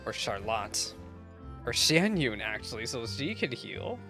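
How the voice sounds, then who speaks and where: A woman's voice: rumbling low, very animated, measured pace, over music. A young woman giving casual, gushing playthrough commentary on a game, chatty and full of slang. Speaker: gaming streamer reacting to a story quest.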